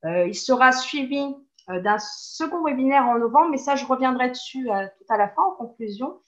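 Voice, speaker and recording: A woman moderating a webinar, giving a measured formal introduction. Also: sits high, expressive. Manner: measured, with fillers. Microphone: video call. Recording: dull lo-fi audio.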